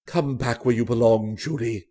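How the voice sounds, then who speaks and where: A man voicing a blunt, sentimental old vaudeville performer with a husky voice and emphatic phrasing, in a storybook reading. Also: mid-range voice, moderate pace, mellow, voice pushed down, lightly acted. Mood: pleading, tender.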